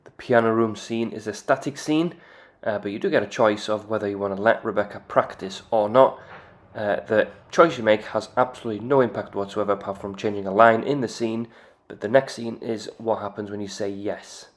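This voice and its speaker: A man hosting a video game channel, giving calm game analysis commentary with occasional "uh" fillers. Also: fairly low pitch, brisk. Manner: calm explanatory delivery with "uh" fillers.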